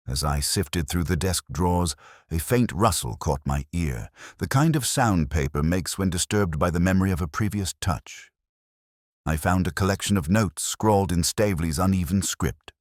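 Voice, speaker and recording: A man narrating a first-person detective mystery in a measured, reflective, literary manner. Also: low-pitched, no room sound, clean studio sound.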